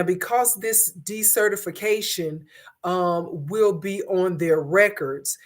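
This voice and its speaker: A woman hosting an online commentary stream, hoarse from a cold, talking in a conversational way with frequent pauses and fillers. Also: on the low side. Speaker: host of an online commentary show. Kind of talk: casual commentary to viewers.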